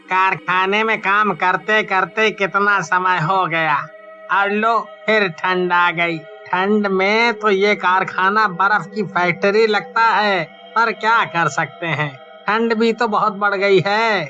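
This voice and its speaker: A man's voice: high voice, fairly even intonation, takes it slowly, pushed and bright, dull audio, soft background music, exaggerated voice. A man voicing a plaintive, chatty factory worker in a cartoon horror story. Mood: grumbling. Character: weary, grumbling male factory worker, chilly. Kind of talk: Hindi cartoon voice acting.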